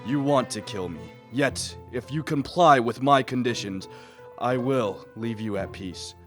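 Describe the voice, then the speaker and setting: A man acting a lonely, spurned man-made monster in a radio play, earnest and deliberate in delivery. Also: mid-range voice, animated, soft background music, close to an everyday voice. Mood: menacing. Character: lonely, spurned man-made monster, pleading, menacing, childlike when newborn.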